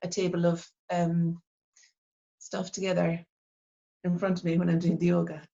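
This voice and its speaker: Soft-spoken Irish woman, dull sound. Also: fairly low pitch, level tone, gravelly. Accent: Irish, Cork. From Ireland. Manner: reflective, halting, many fillers and restarts. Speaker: artist, interview guest. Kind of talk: casual interview talk.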